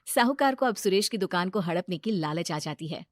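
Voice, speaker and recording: A woman's voice: lowish voice, animated, brisk, no echo, studio quality. A woman narrating a scripted moral story.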